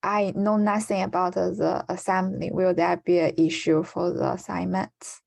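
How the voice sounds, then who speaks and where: Gravelly-voiced woman asking over a call. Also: on the low side, little pitch movement, measured pace, darkish tone. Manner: hesitant, casual. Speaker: student asking a question. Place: joining remotely over Zoom.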